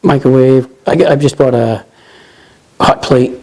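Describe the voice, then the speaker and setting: A man in his forties with a Boston accent, a truck driver chatting casually in an interview, relaxed and rambling with lots of "you know". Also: medium pitch, measured pace, rough recording. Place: office lounge, indoors.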